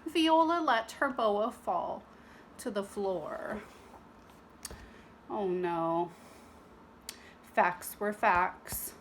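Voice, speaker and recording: A woman's voice: on the low side, a little hiss or hum. A woman narrating a children's storybook expressively, with teacherly asides to young listeners. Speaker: storybook reader.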